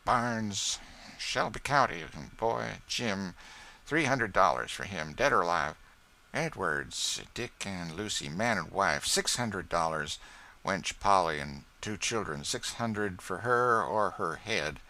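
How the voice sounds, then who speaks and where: A man reading an audiobook and voicing a sly, nervous lawyer who talks in a smooth, conciliatory way. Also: low voice, animated, takes it slowly, bright, forceful tone, full character voice. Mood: wheedling. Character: sly, wheedling lawyer and slave catcher, nervous. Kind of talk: audiobook character voice.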